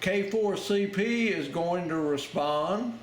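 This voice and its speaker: Casting: male hobby instructor, slow and plainspoken, walking through steps informally, roomy sound with loud background noise. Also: high-pitched. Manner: plainspoken, step-by-step, explains each action as he goes. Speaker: amateur radio Morse code instructor. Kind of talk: informal hands-on tutorial narration. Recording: roomy, very noisy, with clicking and beeps.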